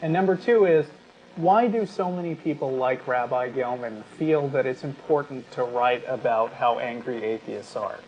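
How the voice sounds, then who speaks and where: A man co-hosting an unscripted talk show, explaining his views in a measured, halting way. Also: medium pitch. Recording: dull lo-fi sound with clear background noise.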